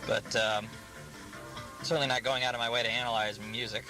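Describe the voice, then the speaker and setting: A man giving casual, rambling commentary in a halting, self-deprecating way. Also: mid-range voice, flat delivery, music in the background, low-quality recording.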